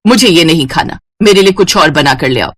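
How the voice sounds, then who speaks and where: A woman voicing a strict, demanding mother-in-law in an animated storybook, speaking in a bossy, scolding way. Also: low voice, fairly quick, voice pushed down.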